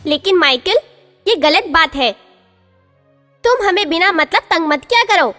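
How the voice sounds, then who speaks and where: Woman doing a shrill earnest little goat, Tina. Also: animated, unhurried, sharp tone, big-room sound, soft background music, full character voice. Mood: pleading. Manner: pleading, earnest, reasoning. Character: polite complaining female goat.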